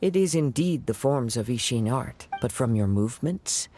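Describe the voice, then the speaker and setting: A man playing a calm, perceptive young swordsman in a video game, with a measured, thoughtful delivery. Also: medium pitch, soft background music, lightly acted. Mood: calm, analytical. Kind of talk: dramatic video game voice acting.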